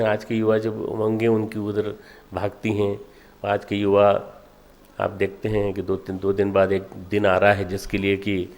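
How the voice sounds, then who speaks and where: An older man delivers a motivational spiritual discourse, exhorting listeners with short, emphatic, repeated phrases and pauses between them. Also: fairly low pitch. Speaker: spiritual leader addressing followers.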